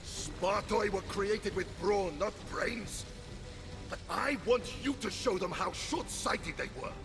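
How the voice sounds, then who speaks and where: An actor playing a vain, touchy, blustering god of war in a video game, bombastic and comically dramatic. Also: male voice, high voice, takes it slowly, vocal fry, music in the background, full character voice. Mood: bossy, boastful. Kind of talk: video game cutscene acting.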